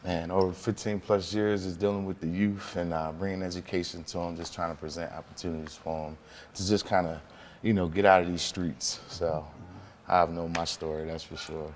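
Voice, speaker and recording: A man's voice: deep voice, steady tone, brisk. A middle-aged man with an American accent talking casually and loosely as an interview guest and youth coach. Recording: reverberant hall, faint ambient noise.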